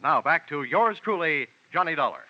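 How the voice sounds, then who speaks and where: A man's voice: fairly high pitch, expressive, moderate pace, no echo. A man making formal, polished network announcements on 1950s radio. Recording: dull old radio recording.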